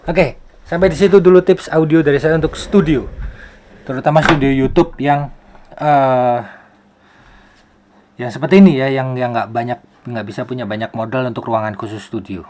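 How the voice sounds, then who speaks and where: A man's voice: medium pitch, steady tone, moderate pace, a little mellow, slight background noise. This is a man hosting a casual how-to video. He talks in an informal, explanatory way. He often poses rhetorical questions and repeats phrases to drive a point home. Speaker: YouTube host, film soundman. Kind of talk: casual tutorial.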